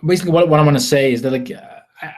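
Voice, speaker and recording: A man's voice: fairly high pitch, very fast. A man from the Netherlands with a Dutch accent, hosting an informal live sales webinar in a rambling, repetitive, self-deprecating way. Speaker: webinar host selling marketing software.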